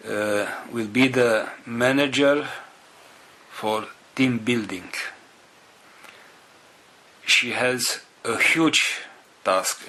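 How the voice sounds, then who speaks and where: A man leading a group, making organizational announcements in halting, non-native English broken into short phrases with pauses. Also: fairly low pitch, sharp tone, slight background noise.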